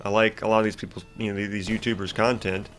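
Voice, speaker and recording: A man's voice: fairly low pitch, level tone, fast talker, a bit bright. A congested young man casually ranting about a video game as he comments on his gameplay, rambling with lots of fillers and restarts. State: congested, stuffy nose. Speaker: gaming YouTuber commentating gameplay.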